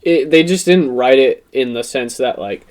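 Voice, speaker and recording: A man's voice: medium pitch, moderate pace, darkish tone. A young adult man co-hosting a podcast, talking casually with friends in an emphatic, insistent way and often repeating himself. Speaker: podcast co-host. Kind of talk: casual chat among friends.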